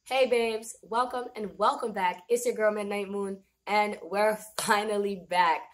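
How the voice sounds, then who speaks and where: A young woman with a slightly raspy voice, chatting informally to camera as she gives a casual beauty product review indoors. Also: medium pitch, fairly even intonation, a little slow. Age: young adult. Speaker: beauty vlogger. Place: small indoor room, plain backdrop.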